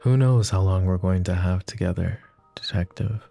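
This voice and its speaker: A man acts out a lovestruck mafia boss in an intimate roleplay ASMR. His voice is very deep and dark, with heavy vocal fry. He speaks in a tender, hesitant, teasing murmur, possessive but self-deprecating. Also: moderate pace, soft background music. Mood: teasing, flirty.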